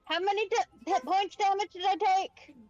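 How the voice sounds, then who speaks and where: A woman's voice: extremely high pitch, steady tone, normal speed, darkish tone, dry recording. A woman playing a tabletop game, chatting casually and wryly over slightly dull voice-chat audio.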